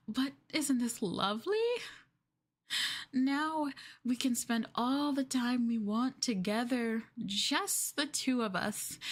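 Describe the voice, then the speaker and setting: A woman voicing a sweetly possessive, obsessive young girlfriend who holds her lover captive, in a soft, cooing, hesitant ASMR roleplay delivery. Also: fairly high pitch. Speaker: streamer roleplaying for viewers.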